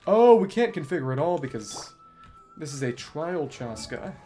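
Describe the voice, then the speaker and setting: A man's voice: on the high side, sing-song, normal speed, dark tone. A man giving casual gameplay commentary as a streamer, thinking aloud and reading game text out as he plays. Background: game music.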